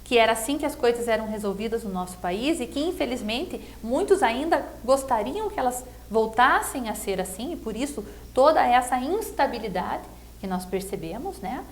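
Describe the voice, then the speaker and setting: A woman's voice: a little high, expressive, moderate pace. A middle-aged Brazilian woman giving a conversational political interview, explaining herself earnestly and often checking with tag questions. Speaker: political pre-candidate being interviewed.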